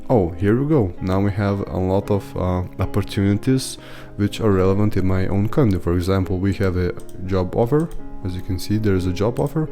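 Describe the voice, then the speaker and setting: Man, 30s, native Lithuanian speaker, rambling tutorial host, deep-ish voice, desk mic at a computer. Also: a little fast, warm tone, faint music behind. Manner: chatty, rambling, rant-like. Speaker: YouTube host, team lead. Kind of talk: casual screen-share tutorial. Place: small home room, indoors.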